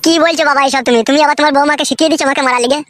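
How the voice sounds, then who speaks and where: A woman's voice: high-pitched, steady tone, rapid-fire, background noise, natural voice. A woman voicing a henpecked, whining, foul-mouthed young husband in a comedy cartoon, with a rural West Bengal accent. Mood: whining, scared. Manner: whining, complaining, coarse-tongued.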